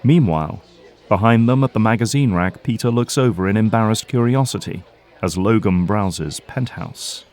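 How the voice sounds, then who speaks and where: A man's voice: lowish voice, normal speed, darkish tone. A man delivering scripted narration as the storyteller of an audio drama.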